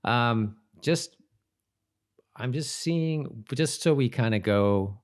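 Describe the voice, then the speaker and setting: A man's voice: medium pitch, animated, slow pace. A man hosting a podcast, chatting casually and giving commentary on an episode. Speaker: podcast host.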